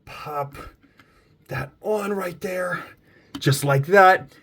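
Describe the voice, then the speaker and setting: A man hosting a casual toy unboxing and review, gushing and excitable as he demonstrates things step by step in informal language. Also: sits high, very slow, a little hiss or hum. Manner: gushing, excitable, exclaims often. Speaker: toy review video host.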